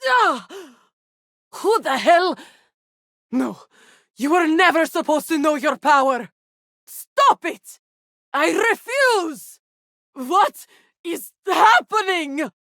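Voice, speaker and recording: A woman's voice: extremely high pitch, very animated, takes it slowly, long pauses, sharp tone, no echo, studio quality, exaggerated voice. A woman playing a greedy, scornful villainess in a sci-fi video game, with haughty, theatrical delivery. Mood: outraged. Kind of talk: video game character voice lines.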